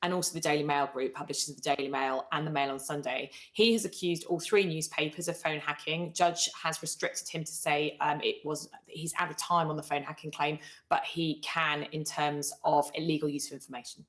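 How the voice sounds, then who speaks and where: A British woman in middle age with a low-pitched voice. She speaks as a commentator on a live broadcast, laying out her points in a measured, explanatory way. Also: fairly even intonation. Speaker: royal commentator on a news programme.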